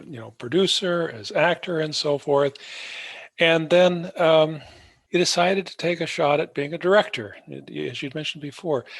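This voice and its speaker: A man interviews a guest in a conversational style, feeling his way through reflective questions. His voice sits a little higher than average for a man. The recording is dry, with very little room sound. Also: vocal fry, no echo. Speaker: podcast interviewer, stage actor.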